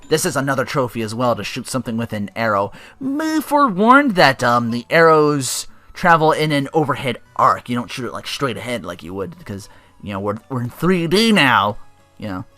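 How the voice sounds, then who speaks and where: A man talks casually through a video game as host of a let's play. His voice is bright and forceful, swinging widely in pitch, with profane asides. Game music plays behind him. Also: moderate pace, dry recording. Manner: profane, animated. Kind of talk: casual gameplay commentary.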